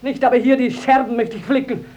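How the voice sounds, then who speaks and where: A woman playing a middle-aged, sharp-tongued village widow, scolding and declaiming in a 1950s German radio play. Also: fairly high pitch, fairly even intonation, fairly quick, dull audio, close to an everyday voice. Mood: scornful.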